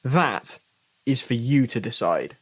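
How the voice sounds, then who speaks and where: A man presenting a mystery audio drama with scripted narration, in a measured, formal and portentous manner. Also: medium pitch, animated. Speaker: host, presenter.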